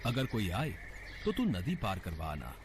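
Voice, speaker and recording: Man acting a fatherly boatman, animated delivery. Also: fairly low pitch, unhurried, background noise. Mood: tender. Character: fatherly ancient Indian boatman, astonished and tender. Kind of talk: dramatized devotional storybook acting.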